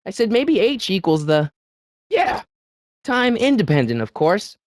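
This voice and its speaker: American teen adventure hero, high youthful voice, lively swooping pitch, earnest, anxious, hesitant, video game dialogue, dry close sound. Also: male voice, dry recording. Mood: eager, persuading. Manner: hesitant, stammering, eager, fast-talking persuader. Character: earnest, anxious teenage boy hero of a time-travel adventure.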